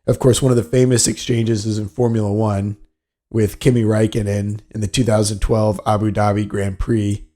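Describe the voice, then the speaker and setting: A man's voice: lowish voice, flat delivery, normal speed, dark tone. A man hosting a solo sports business podcast, explaining things in a matter-of-fact way. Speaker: podcast host.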